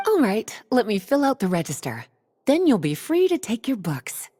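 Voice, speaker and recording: Playful, teasing librarian, lively intonation. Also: female voice, a little high, moderate pace, a little hiss or hum. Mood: amused. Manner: coy, teasing politeness. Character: playful, teasing, unhurried adult woman librarian of a fantasy town. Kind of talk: video game voice acting.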